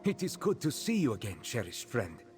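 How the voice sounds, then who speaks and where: A man voicing a gentle cat-folk former captive in a video game, speaking in a measured, formal way and referring to himself in the third person. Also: mid-range voice, huge pitch swings, soft background music. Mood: fond, calm.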